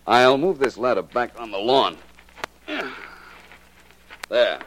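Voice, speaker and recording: An actor playing a confident, clever detective in a 1940s radio drama, with an assured, explanatory manner. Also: male voice, medium pitch, slow, fairly bright tone, slight background noise, rough recording. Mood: confident, explaining.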